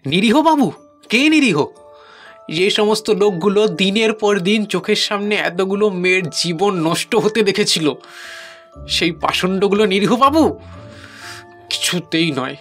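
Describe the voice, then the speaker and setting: A man's voice: high voice, lively intonation, measured pace, pauses often, fairly bright tone. A man acting a bitter, pleading villager who speaks emphatically and plaintively in an audio horror story. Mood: bitter, indignant. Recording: music under the voice.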